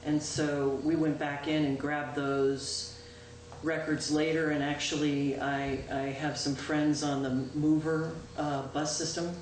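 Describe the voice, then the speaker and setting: A woman town clerk giving a chatty, informal report to a town board, loud-voiced and pausing often with "um". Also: low-pitched, little pitch movement. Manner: chatty, with frequent "um" and self-corrections. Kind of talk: informal spoken report at a public meeting. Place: town meeting room. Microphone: distant room microphone. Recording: echoey, distant, noisy local-access meeting recording.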